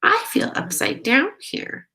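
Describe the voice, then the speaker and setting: A woman's voice: slow pace, bright, forceful tone. A woman voicing a chirpy, uneasy young bird.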